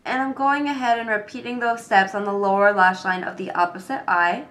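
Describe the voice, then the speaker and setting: A young woman with a cold and a congested voice, calmly talking through a makeup tutorial step by step. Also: medium pitch, a little fast. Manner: calm step-by-step explaining with fillers. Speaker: beauty vlogger.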